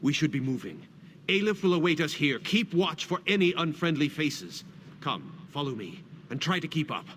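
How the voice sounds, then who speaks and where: An actor playing a devout, stalwart guild warrior in a fantasy video game, with formal, declamatory phrasing. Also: male voice, on the high side, very animated, normal speed, fairly bright tone, a little hiss or hum. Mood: brisk, commanding. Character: devout male guild warrior, hearty, stalwart and duty-bound.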